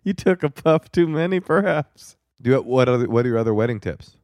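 A man's voice: medium pitch, expressive, a little fast, darkish tone, no room sound. An American man co-hosting a podcast in casual comic chat, opinionated and joking, leaning on his words for emphasis. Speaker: podcast co-host.

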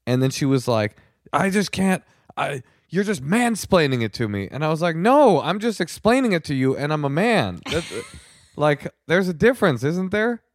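A man's voice: fairly high pitch, big pitch swings, normal speed, dry recording, studio quality. An American man co-hosting a comedy podcast, chatting casually in a wry, joking way. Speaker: podcast co-host.

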